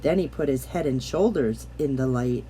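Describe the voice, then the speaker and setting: A woman reading a children's picture book aloud, gently and deliberately, with dramatic pauses. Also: very deep voice, a little hiss or hum, poor audio. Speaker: storybook reader. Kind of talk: expressive children's bedtime read-aloud.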